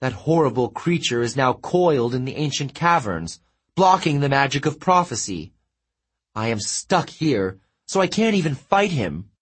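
A man's voice: mid-range voice, sing-song, normal speed, slightly rough, voice pushed up. A man voicing a vain, melodramatic male sun god who wails and pities himself. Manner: wailing, theatrical and self-pitying.

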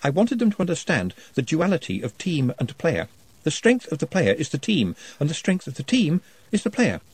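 A man's voice: a little high, wildly swooping pitch, moderate pace, breathy edge, no echo, pitched above the performer's own voice, full character voice. A man voicing a nervous, formal young scholar who speaks precisely and earnestly.

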